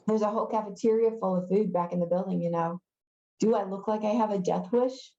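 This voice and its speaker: A woman's voice: medium pitch, a little fast, mellow, echoey room, muffled recording, lightly acted. A woman reading the part of a pushy, sneering middle-school newspaper editor boy in a storybook, insistent and sarcastic.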